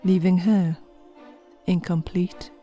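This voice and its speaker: A woman's voice: fairly low pitch, level tone, slow pace, mellow, soft background music. A woman narrating scripted comedy horror, with wry, exasperated asides to the listeners.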